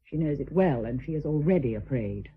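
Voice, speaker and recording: Period radio play: dignified, unyielding wife, breathy low register, formal phrasing, very narrow tinny recording. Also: female voice, lively intonation, full character voice. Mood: quietly resolute. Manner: measured, formal, resolute. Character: proud, steadfast deserted wife, dignified English noblewoman. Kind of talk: period radio drama acting.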